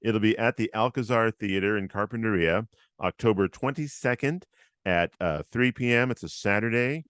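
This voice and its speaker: A man hosting a casual interview podcast, upbeat and encouraging, with a loud voice and many fillers. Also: lowish voice, normal speed, smooth, no room sound, studio quality. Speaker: host.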